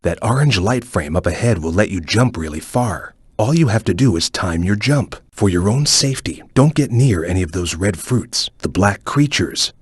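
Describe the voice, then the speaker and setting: A man playing a calm, serious ninja chameleon in a video game, speaking in a measured, stoic and focused way with a low, husky voice. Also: no echo, studio quality. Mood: serious, watchful. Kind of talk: video game voice lines, hints and tutorial instructions.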